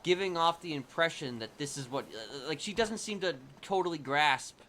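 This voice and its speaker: A man's voice: on the high side, expressive, normal speed, a bit bright, a little hiss or hum. A man livestreaming casual commentary on a roleplay game, explaining things in a rambling, hedging way. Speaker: streamer.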